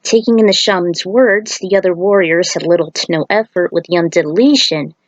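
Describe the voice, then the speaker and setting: A woman's voice: fairly low pitch, fairly quick, dry recording, highs cut off. A woman reading a scripted story aloud in a dramatized way, with the odd aside. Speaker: fan fiction reader.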